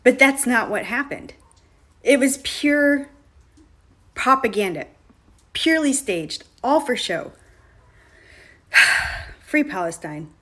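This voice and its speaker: A woman with a slightly raised pitch speaks firmly, stressing each point in her social media commentary. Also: expressive, slow pace, long pauses, pushed and bright. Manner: firm, emphatic.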